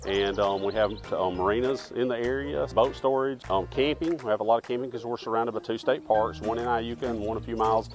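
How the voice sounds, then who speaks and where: A man answers interview questions outdoors in a park. He is friendly and often restarts his phrases. His voice is mid-pitched, and faint music plays under it. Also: fairly even intonation, fairly quick, hardly any pauses. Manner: friendly, repeats words when starting a phrase. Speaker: town mayor.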